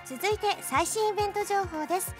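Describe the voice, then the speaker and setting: A woman's voice: very high-pitched, fairly quick. A woman hosting a radio show, switching between casual chat and reading out game announcements. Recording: music behind the voice. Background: background music.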